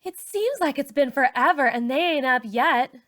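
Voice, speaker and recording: Woman reading as a snide catty teen girl, high and bright. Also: animated, normal speed, no echo. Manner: snide, teasing. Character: catty teenage girl, a sneering follower.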